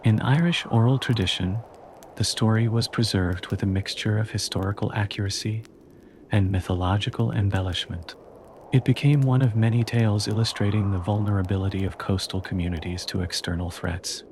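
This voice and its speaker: A man reading a calm, scripted history narration meant for sleep, in a slow and measured way. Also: fairly low pitch, a little hiss or hum.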